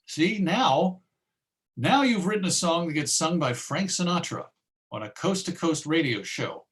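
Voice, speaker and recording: An American man presenting an informal history lecture, conversational, with deliberate emphasis. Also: on the high side, lively intonation, fairly bright tone.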